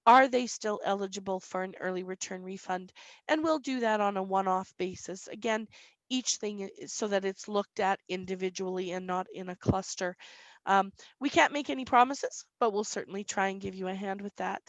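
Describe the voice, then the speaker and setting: Woman broker, slightly squeaky, plain explainer with "um"s, dry close webinar sound. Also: normal speed, dry recording, low-quality recording. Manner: reads questions aloud then explains plainly, frequent "um". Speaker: insurance broker answering webinar questions.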